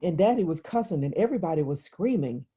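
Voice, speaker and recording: A woman's voice: lowish voice, lively intonation, quick pace, dark tone, slightly rough. A woman reading the part of an upset, exasperated young girl who confides in her sister with plain, childlike telling, in a novel. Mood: agitated recounting. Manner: reluctant, plain childlike recounting. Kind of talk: literary audiobook dialogue.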